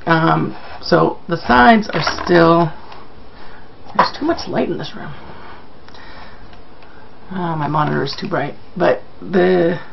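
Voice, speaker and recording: A man with a croaky, sick-sounding voice giving a casual, rambling, self-deprecating video update to viewers. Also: a little high, highs cut off, a little hiss or hum. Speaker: vlogger.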